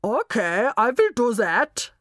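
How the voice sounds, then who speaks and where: A woman voicing a hypnotised, obedient man who speaks slowly and robotically in a storybook reading. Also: a little high, very animated, fairly bright tone, no echo, studio quality, voice pushed up, exaggerated voice. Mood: robotic, obedient. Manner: slow, robotic delivery.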